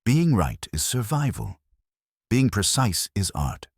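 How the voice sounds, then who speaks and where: A man's voice: lowish voice, big pitch swings, takes it slowly, vocal fry, slightly rough, no room sound, clean studio sound, voice pushed up, heavily acted. A man reading the part of a stern, imperious older detective in formal, clipped, declarative sentences for an audio mystery. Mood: self-satisfied.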